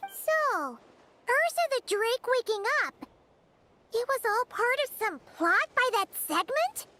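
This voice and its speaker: A woman voicing a tiny, excitable female companion creature in a game, squeaky and chirpy. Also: exaggerated voice. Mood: surprised. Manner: squeaky, chirpy, quick reactions. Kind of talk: game voice acting. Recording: game audio with music behind.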